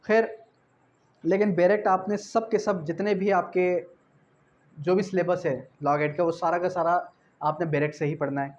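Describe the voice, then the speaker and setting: A man's voice: high-pitched, a little mellow, lo-fi sound. A man with a Pakistani accent teaching like an exam-prep law tutor, didactic, repeating key phrases and posing rhetorical questions, switching into English terms. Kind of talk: exam-prep lecture mixing Urdu with English legal terms.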